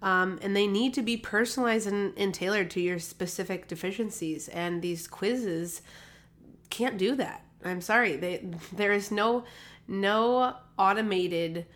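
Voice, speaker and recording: A woman's voice: mid-range voice, a little slow, a bit bright. A woman hosting her own health podcast, talking casually with lots of fillers and restarts, a little nasal from a recent cold. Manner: chatty, rambling with many fillers and restarts. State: slightly nasal, recovering from a cold. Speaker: podcast host, holistic health practitioner. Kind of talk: casual solo podcast talk. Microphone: podcast microphone.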